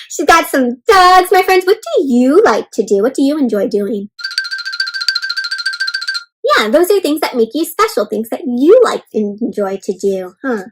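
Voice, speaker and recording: A woman reading a children's storybook aloud interactively, expressive and encouraging like a teacher, often turning to ask her young listeners questions. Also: a little high, low-quality recording. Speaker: children's read-aloud host.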